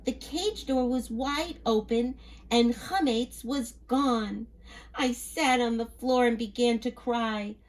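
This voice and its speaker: A woman's voice: on the high side, slow, rough recording. A woman reading a children's story aloud, expressively, sometimes turning to address her listeners.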